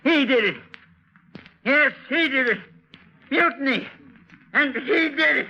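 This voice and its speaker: A man's voice: very high voice, slow pace, creaky, sharp tone, full character voice. A man playing a crazed, vengeful old sea captain, ranting and repeating his demands in a 1940s radio drama. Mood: frantic, accusing. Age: older. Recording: dull, muffled 1940s radio recording.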